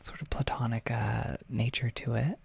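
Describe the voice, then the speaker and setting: Give me a soft-spoken, gravelly, husky man chatting on a livestream. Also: medium pitch, steady tone. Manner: soft, halting, with frequent fillers and half-whispered stretches. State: slightly stuffy nose. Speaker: ASMR audio creator hosting a livestream. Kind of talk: soft-spoken casual livestream chat, reading chat.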